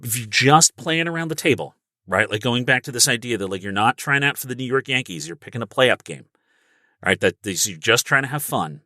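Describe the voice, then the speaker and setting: A man giving animated, instructive explanations as a guest voice coach in a podcast conversation. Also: mid-range voice, a little fast, no room sound, clean studio sound.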